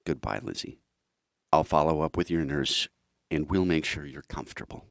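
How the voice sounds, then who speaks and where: An actor playing a compassionate physician in an audio drama, grave, measured and sympathetic, with a gravelly voice. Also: male voice, extremely low pitch, lively intonation, no echo, studio quality, exaggerated voice. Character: somber, compassionate male doctor.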